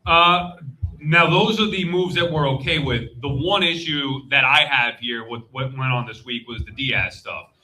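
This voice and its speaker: A man's voice: mid-range voice, measured pace, pushed and bright, poor audio. A man hosting a live sports panel, impassioned, exasperated and emphatic. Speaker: sports show host. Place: live convention panel stage.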